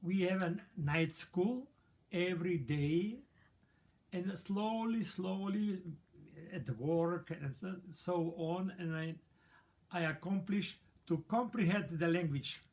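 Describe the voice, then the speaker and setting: An older man speaks English as a later-learned language, choosing his words slowly with non-native grammar. His voice sits fairly high for a man. The pace is unhurried. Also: darkish tone. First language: not English. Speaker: interviewee. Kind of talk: interview recollection.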